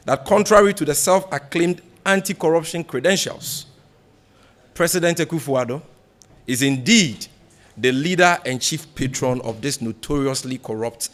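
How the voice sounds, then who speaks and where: A man with a Ghanaian accent reading a prepared political speech as a party spokesman, in a deliberate, emphatic, oratorical way. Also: a little high, a bit bright. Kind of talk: formal political speech read from a script at a press briefing.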